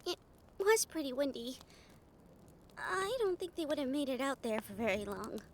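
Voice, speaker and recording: A woman plays a young female harpy for a fantasy audio roleplay, eager and kind-hearted. Her voice is extremely high and girlish, and it swings widely as she talks in a bubbly, excitable way. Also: moderate pace, slight background noise. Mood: subdued, sympathetic.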